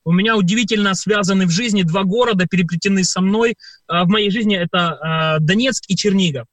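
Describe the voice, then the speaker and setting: A man from Ukraine talks through his answers in a radio interview, pausing often on filler sounds as he tells his stories. His voice sits fairly high for a man. The recording is dry, with no room sound. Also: dry recording. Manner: hesitant, many filler sounds, storytelling. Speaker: performer and guest.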